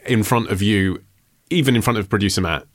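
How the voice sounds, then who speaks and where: A man's voice: fairly low pitch, brisk. A British man hosting a podcast in casual banter, with a dry, teasing manner. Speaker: podcast host.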